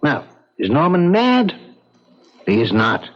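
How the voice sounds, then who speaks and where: An actor in a 1970s radio drama playing a grave, thoughtful psychiatrist who speaks deliberately and with rhetorical emphasis. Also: male voice, fairly high pitch, wildly swooping pitch, heavily acted. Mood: grave, puzzled. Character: grave, thoughtful male psychiatrist, calmly puzzled. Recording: dull 1970s radio broadcast.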